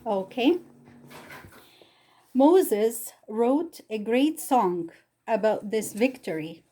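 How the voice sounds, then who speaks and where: A woman from Egypt with an Egyptian accent and Arabic as her first language, reading Bible stories aloud in careful, halting English. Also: fairly high pitch, dark tone. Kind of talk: slow read-aloud storytelling.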